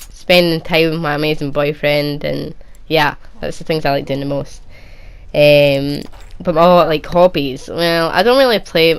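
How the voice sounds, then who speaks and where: A woman's voice: low-pitched, a little hiss or hum. A young woman with a Scottish accent from Edinburgh, answering viewer questions in a chatty, rambling way. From Edinburgh, Scotland. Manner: chatty, rambling, lots of restarts and "like". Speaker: gaming YouTuber. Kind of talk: casual Q&A chat.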